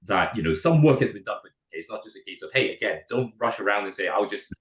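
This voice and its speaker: A hoarse man with a cold explains visa routes at a rapid clip. His voice sits very low, and he stumbles over repeated words. The room around him echoes. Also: expressive. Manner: fast, with many repeated words and restarts. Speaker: immigration adviser. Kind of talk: webinar presentation.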